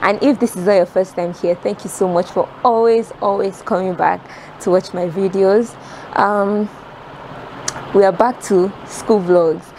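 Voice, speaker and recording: A woman's voice: medium pitch, steady tone, a little slow, dark tone, poor audio. A young woman with a Nigerian accent chatting casually and directly to her viewers in a vlog, recorded at arm's length. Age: young adult. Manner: informal, addresses viewers directly. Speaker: vlogger, student. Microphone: camera at arm's length. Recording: background noise.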